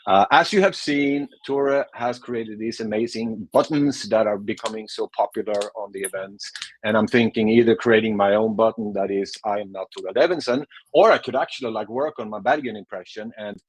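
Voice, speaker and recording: A man's voice: fairly low pitch, normal speed, slightly rough, no echo, poor audio. Swedish man presenting online, talky and self-deprecating, dry close sound. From Sweden. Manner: chatty, self-deprecating, frequent restarts.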